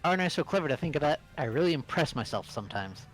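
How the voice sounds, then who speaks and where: A man's voice: on the high side, sing-song, fast, faint music behind, low-quality recording. A man voicing a pompous, self-admiring young student in a video game, speaking formally. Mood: self-satisfied. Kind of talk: amateur visual novel voice acting.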